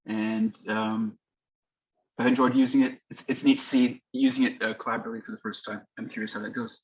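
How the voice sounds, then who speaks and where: A man's voice: medium pitch, little pitch movement, fairly quick. A man introducing himself on a video call, hesitant and repeating himself. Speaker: book club member. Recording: dull call audio with faint hum.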